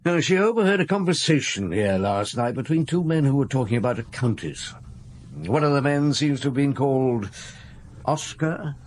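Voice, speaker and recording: A man playing a calm, probing chief inspector. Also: mid-range voice, slight background noise, natural voice. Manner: measured, patient questioning. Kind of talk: radio drama acting.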